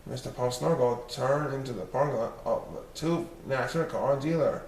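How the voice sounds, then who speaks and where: A man's voice: medium pitch, moderate pace, darkish tone. A man reading a children's story aloud as narrator. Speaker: storybook reader. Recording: roomy, distant mic, faint noise.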